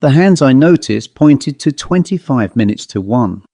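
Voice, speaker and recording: A man narrates a classic ghost story with a measured, expressive delivery. His voice is mellow and relaxed, with an ordinary male pitch. The sound is dry, with no audible room around it. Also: no echo. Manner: measured, literary, expressive reading. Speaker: audiobook narrator.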